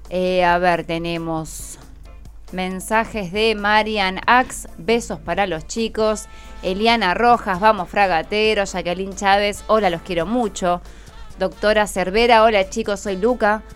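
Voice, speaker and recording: A woman's voice: mid-range voice, clean tone. A woman with a Buenos Aires accent hosting a live radio show, chatting with guests and reading out listeners' greetings. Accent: Argentine, Buenos Aires. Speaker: radio host. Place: community radio studio. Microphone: studio microphone.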